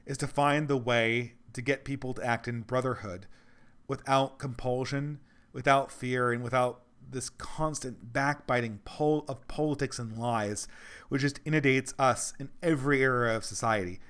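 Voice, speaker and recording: Hoarse man rambling on a livestream. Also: medium pitch, fairly even intonation, moderate pace, fairly bright tone. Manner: rambling, earnest, thinks aloud. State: losing his voice. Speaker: livestream host reading donations. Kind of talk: casual livestream Q&A.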